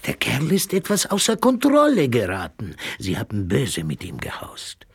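An actor in a German radio drama playing a fat, scheming Russian correspondent and spy, evasive and dismissive. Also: male voice, medium pitch, huge pitch swings, normal speed, husky, no room sound, exaggerated voice.

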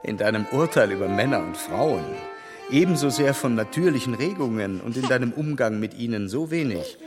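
A man's voice: mid-range voice, lively intonation, brisk, long reverb tail, over music, lightly acted. An actor playing a frank family friend in his thirties who reproves directly but kindly, in a radio drama. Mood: stern.

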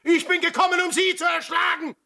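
An actor with an Austrian accent playing a bitter, sardonic sailor in a radio drama, scathing and theatrical. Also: male voice, extremely high pitch, little pitch movement, brisk, creaky, pushed and bright, shouting, exaggerated voice. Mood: furious. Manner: scathing, sarcastic, swinging from hissed whispers to bellowing. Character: bitter, sardonic sailor confronting an old war criminal.

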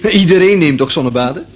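A man's voice: on the high side, expressive, moderate pace, dull audio, natural voice. An actor playing an eccentric, easygoing young man in a radio play, musing and amused, with some bashful hesitation. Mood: smitten, playful. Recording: noisy old radio drama recording.

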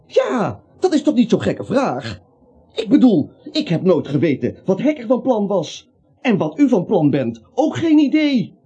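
Nervous, pleading everyman, stammering, soft-edged husky tone, very animated intonation, dull radio drama sound. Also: sits high, a little slow, heavily acted. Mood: bewildered, defensive. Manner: stammering, pleading, repeats himself. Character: nervous, bewildered, neutral everyman protesting his innocence. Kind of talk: Dutch radio play acting. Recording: muffled, dull radio play recording.

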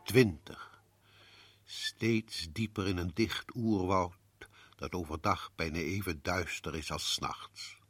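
A man narrating a radio play in a grave, measured way. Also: sits low, fairly even intonation, a bit bright, breathy edge.

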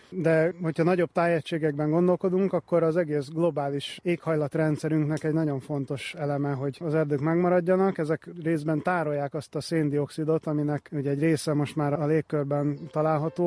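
Male expert interviewee, fairly high voice, explanatory, very dry recording. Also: warm tone, dry recording. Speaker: forest expert being interviewed.

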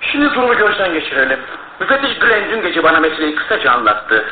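A male actor playing a well-bred, bereaved and agitated gentleman in a Turkish radio play, speaking formally and insistently. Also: fairly high pitch, big pitch swings, quick pace. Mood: puzzled, insistent. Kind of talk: Turkish radio theatre acting. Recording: roomy narrow-band old radio recording, faint noise.

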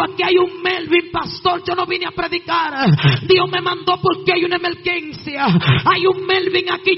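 A woman with a Dominican Spanish accent preaching a fervent Pentecostal testimony, impassioned and shouting, with repeated exhortations. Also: very high voice, creaky. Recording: dull lo-fi sound with faint music. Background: faint music.

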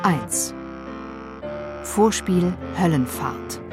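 A woman's voice: on the low side, lively intonation, extremely slow. A woman announcing radio play titles in a sober, formal manner. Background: plucked guitar music.

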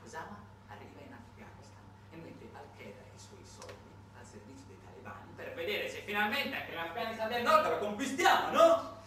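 A man's voice: high voice, very animated, brisk, hardly any pauses. A man performing a satirical theatrical monologue, conversational yet dramatic, with big swings in volume. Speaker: solo theatre performer and narrator. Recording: very echoey, distant, noisy stage recording.

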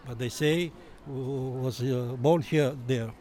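A male souvenir seller with a Romanian accent talks in short, broken phrases. His voice sits at an average pitch, recorded out on a street. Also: slow, mellow. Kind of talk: informal on-street interview. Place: outdoors in a town street.

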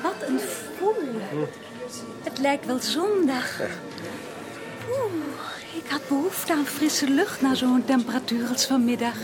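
A woman playing a coquettish, high-strung young society woman in a period radio drama, with a playful, affected manner. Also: lively intonation, slow pace, over music. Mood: theatrical. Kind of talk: Dutch radio drama acting.

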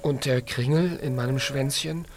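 A man's voice: medium pitch, steady tone, normal speed, a little hiss or hum, natural voice. Man playing a hesitant, gloomy little piglet. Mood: anxious, earnest. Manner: hesitant, downcast questioning. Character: gloomy, anxious little piglet, childlike and earnest. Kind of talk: children's radio play acting.

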